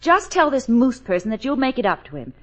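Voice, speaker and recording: An actress playing a loyal, spirited young secretary, earnest and coaxing, in old radio comedy-drama style. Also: female voice, a little high, big pitch swings, fairly quick. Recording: dull, band-limited old radio recording.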